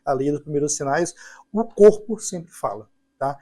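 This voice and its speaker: A man's voice: a little high, expressive, normal speed, mellow. A young man speaking as a psychologist guest on a podcast, affirming and telling stories. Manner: affirming, storytelling, frequent "né" and "perfeito". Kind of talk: podcast interview chat.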